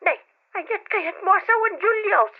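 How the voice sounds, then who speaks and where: A woman playing a caring, gently concerned middle-aged mother with a Filipino accent in an old radio drama. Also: very high voice, expressive, unhurried, heavily acted. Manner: motherly, exclaiming. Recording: dull old radio recording.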